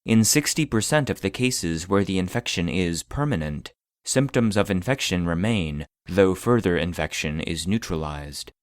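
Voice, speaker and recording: A man playing an impassive database voice, reading classified files in a formal, measured, clinical manner for a scripted audio drama. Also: low-pitched, expressive, no echo. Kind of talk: scripted narration of clinical reports.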